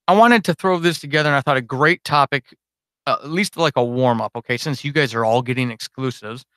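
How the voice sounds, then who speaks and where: A man's voice: medium pitch, a bit bright, clear, resonant tone, no echo. A man chatting casually and unscripted to his supporters on a livestream, rambling, informal and self-deprecating as a podcast host.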